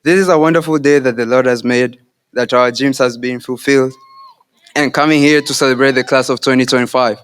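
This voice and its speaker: A man's voice: medium pitch, fairly even intonation, smooth. A young man from Kenya, a Swahili speaker, giving an earnest, devout graduation speech. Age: young adult. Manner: earnest, devout, measured delivery.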